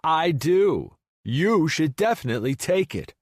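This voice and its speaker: Man acting smug male friend, raised pitch, sing-song, playful, cocky, romance audiobook character, dry recording. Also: very animated, unhurried, no echo, heavily acted.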